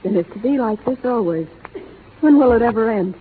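An actress playing an anxious young sweetheart, breathless and melodramatic in the manner of 1930s radio drama. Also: female voice, fairly high pitch, lively intonation, fairly quick, a little hiss or hum, close to an everyday voice. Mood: pleading. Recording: tinny, reverberant 1930s radio broadcast.

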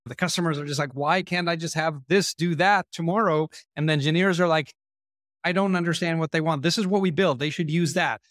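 A man's voice: a little high, steady tone, a little fast, fairly bright tone, no room sound, studio quality. A man in his forties with an Israeli accent, a podcast guest telling his career story in a candid, self-deprecating way. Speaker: guest, marketing executive. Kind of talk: podcast interview.

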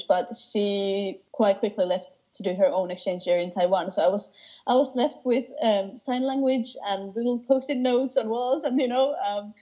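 A young woman from Finland chats as an interview guest, eager and warm in tone, often circling back to restart her sentences. Her voice is mellow and soft-edged, and the recording sounds slightly dull and muffled. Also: medium pitch. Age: 20s. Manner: enthusiastic, with frequent restarts and light laughs. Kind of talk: casual interview chat.

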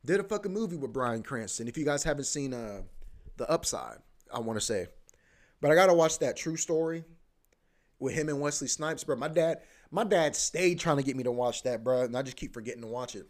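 Young male podcast host, rambling and profane, filler-heavy, mid-pitched. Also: expressive, brisk. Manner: loose and profane, heavy filler like "bro" and "you know what I mean"; repeats himself, often restarts. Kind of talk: casual rambling monologue.

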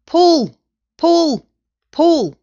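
A woman voicing a bossy, excitable male monkey ringleader in a storybook, giving urgent orders. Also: medium pitch, normal speed, dull audio, lightly acted. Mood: yelling. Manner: urgent, shouted commands.

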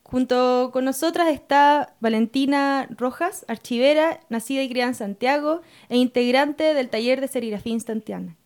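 A woman's voice: sits high, moderate pace, hardly any pauses, a little mellow. A woman with a Chilean Spanish accent, presenting and introducing a radio show as host.

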